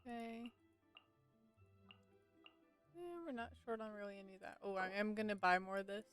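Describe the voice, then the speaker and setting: A woman's voice: mid-range voice, steady tone, moderate pace, a bit bright, soft background music. A woman giving casual live gameplay commentary as a streamer, informally thinking aloud. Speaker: game streamer.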